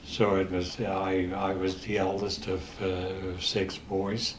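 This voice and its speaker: Slow, deep-voiced older Dutch-accented man. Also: monotone, echoey room, slight background noise. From the Netherlands. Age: 80s. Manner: halting, with many restarts and pauses. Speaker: interview guest. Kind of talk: storytelling in a casual interview.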